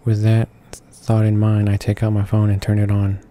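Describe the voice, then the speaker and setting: A man's voice: fairly low pitch, completely flat delivery, normal speed, dark tone. A man reading visual novel text aloud as a let's player, stumbling over words now and then. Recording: game music behind the voice. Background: video game music.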